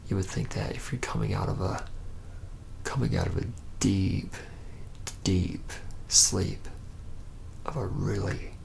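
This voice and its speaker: A man playing a reluctant, haunted young vampire in an ASMR roleplay, telling his story in a hushed, halting murmur that slips into breathy whispers. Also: heavily acted. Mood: haunted, subdued. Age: young adult. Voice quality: breathy whisper mixed with low, gravelly murmur. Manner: halting, hesitant, pausing mid-phrase.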